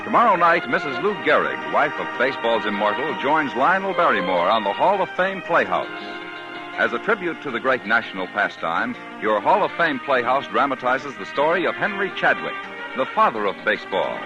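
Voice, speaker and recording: Man with a lively old-time radio announcer voice. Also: mid-range voice, moderate pace. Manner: formal, declamatory announcer delivery. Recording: dull vintage radio broadcast with music.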